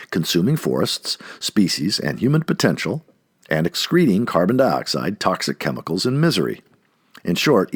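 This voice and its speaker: A man reading an essay aloud like an audiobook narrator, expressively and with deliberate, emphatic phrasing. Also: lowish voice, husky, no room sound, studio quality.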